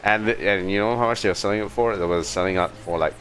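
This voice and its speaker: A man chatting casually as a video game streamer, telling things in an animated, exasperated way. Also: fairly low pitch, fast. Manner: animated storytelling with exasperated asides. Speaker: livestreamer playing a video game. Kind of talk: casual rambling livestream chat. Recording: music behind the voice. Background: game music.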